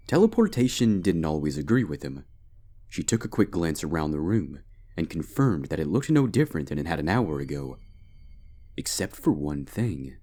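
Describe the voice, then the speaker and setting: A man giving a dramatic scripted narration of a dark fantasy story. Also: very deep voice. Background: background music.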